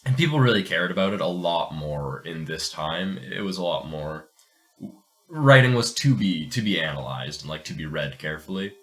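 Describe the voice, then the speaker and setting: Very low-voiced man, informal podcast host. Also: expressive, normal speed, fairly bright tone. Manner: informal, profane, jokey. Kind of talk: casual literary discussion and reading aloud.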